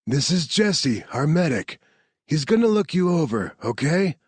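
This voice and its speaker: A man's voice: fairly high pitch, very animated, a little slow, husky, dry recording, voice pushed up, full character voice. A man voicing a tough male rescue team leader who gives blunt orders and short reassurances. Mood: reassuring. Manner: blunt, commanding.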